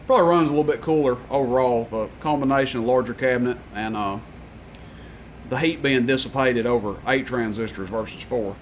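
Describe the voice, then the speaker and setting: Male seller, mid-pitched, informal and matter-of-fact inventory presentation, rambling technical talk over audible background noise. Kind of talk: informal product rundown to camera.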